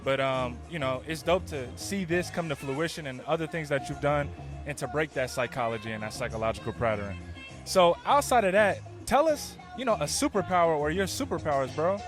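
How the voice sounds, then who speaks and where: A man's voice: on the high side, brisk. Slang-heavy male host, music behind. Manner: affirming, laid-back, peppered with slang. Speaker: podcast host, interviewer. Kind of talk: casual interview. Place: outdoors at a busy event.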